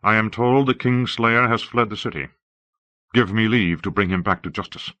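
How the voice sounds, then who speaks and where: A man's voice: on the low side, moderate pace, bright, forceful tone, no echo, highs cut off, voice pushed up. A man voicing a stern, principled lord and counsellor in an audiobook, formal and direct. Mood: grave, formal. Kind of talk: audiobook character acting.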